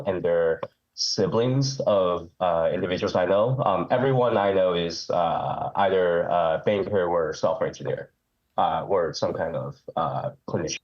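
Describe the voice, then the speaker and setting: A man's voice: sits low, a little mellow, muffled recording. A man calling in to a live show, speaking hesitantly with many ums and restarts, with a head cold. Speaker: caller. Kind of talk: call-in chat.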